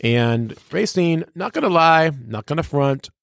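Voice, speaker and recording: A man's voice: fairly high pitch, animated, a little slow, vocal fry, fairly bright tone, dry recording, studio quality. A man who works as a radio personality and DJ, telling stories casually and colloquially with a showman's turn of phrase as an interview guest. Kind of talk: casual storytelling in a sit-down interview.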